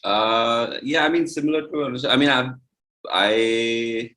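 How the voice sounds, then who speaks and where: A man's voice: medium pitch, unhurried, pushed and bright. A young man, a musician guest telling his story in loose, rambling casual chat.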